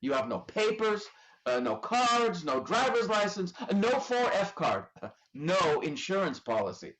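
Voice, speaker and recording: Whimsical ancient angel, played by a woman, very expressive. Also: mid-range voice, unhurried. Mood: earnest, solemn. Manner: quaint, earnest, slightly hesitant. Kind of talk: community theatre radio play acting. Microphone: video call.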